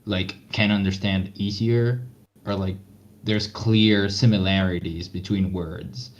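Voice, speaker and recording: A man's voice: sits low, steady tone, slow. A native Spanish-speaking man, a player in a tabletop game stream, chatting casually and explaining things in a hesitant, repetitive way. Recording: muffled online voice chat with background noise.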